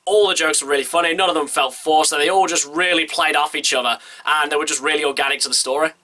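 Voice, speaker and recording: A young man reviews a film in a chatty, eager vlog, his nose stuffy from a cold. He talks very fast, with a bright, forceful tone that sits a little high for a man. The sentences run on in quick bursts. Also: steady tone. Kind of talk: casual movie review to camera.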